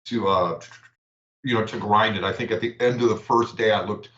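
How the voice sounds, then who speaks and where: A low-voiced man talks in halting bursts, repeating words before he finds his point, then reads out numbers steadily. He sounds like he is in an echoey room. The audio is dull and lo-fi. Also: fairly even intonation, fairly bright tone. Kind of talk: casual livestream chat.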